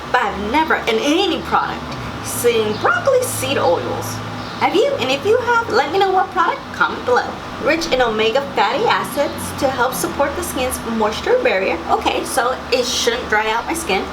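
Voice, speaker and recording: A young woman with a slightly raspy morning voice, chatting casually through a beauty box unboxing and reading product labels aloud. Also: high voice, very animated, moderate pace, noisy background. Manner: chatty, reads labels aloud, frequent mm-hmms. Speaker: beauty vlogger.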